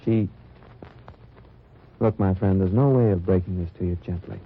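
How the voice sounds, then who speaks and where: A man's voice: low voice, moderate pace. A man playing a grim undercover agent on old radio. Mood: sober. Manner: clipped hard-boiled narration. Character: tough wartime American undercover agent, grim, steady. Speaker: narrator and lead, undercover agent. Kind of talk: 1950s radio drama acting. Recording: dull noisy old radio recording.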